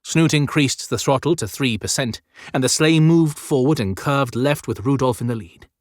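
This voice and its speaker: A man's voice: mid-range voice, moderate pace, no room sound. Male audiobook narrator, close and dry. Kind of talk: scripted narration, dramatic fantasy storytelling.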